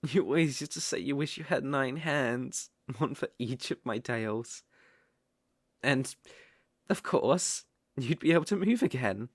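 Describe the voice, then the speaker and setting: A man's voice: medium pitch, lively intonation, no room sound, clean studio sound. Man acting a possessive, lilting British fox spirit. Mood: coaxing, sly. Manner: coaxing, slow, with eager flustered outbursts. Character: possessive, sly, lovestruck male fox-spirit mage. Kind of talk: ASMR audio roleplay.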